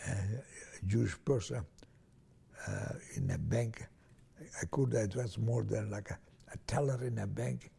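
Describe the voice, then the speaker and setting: A man's voice: fairly low pitch, measured pace, mellow. An older man from Transylvania, a native Hungarian speaker, recalling his life in an oral history interview, with many restarts. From Transylvania, Romania. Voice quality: gravelly, husky, hesitant with many restarts.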